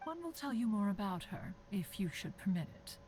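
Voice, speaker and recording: A woman's voice: medium pitch, very animated, fairly quick, a little mellow, slight background noise, lightly acted. A woman voicing a stately, ancient crane spirit in a video game, speaking in archaic, formal phrasing with a wry, teasing streak. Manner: archaic, formal phrasing; calls herself "one". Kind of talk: video game cutscene dialogue.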